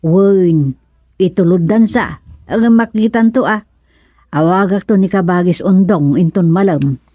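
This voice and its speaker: An actress playing a chatty, teasing wife in a comic radio serial. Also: female voice, lowish voice, measured pace, dark tone, lightly acted. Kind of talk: comic radio drama acting.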